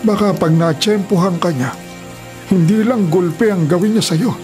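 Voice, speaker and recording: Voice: medium pitch, normal speed, music in the background, natural voice. An actor playing a kindly, wary old forest elder who speaks with concern in a Filipino radio drama. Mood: kindly, cautioning. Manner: cautioning, concerned.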